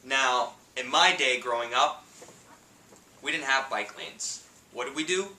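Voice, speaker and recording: A man in his thirties with a New York City accent, giving a humorous contest speech with punchy timing and pauses for laughs. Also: mid-range voice, bright, forceful tone. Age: 30s. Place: meeting room with an audience. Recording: echoey room, distant mic, faint noise.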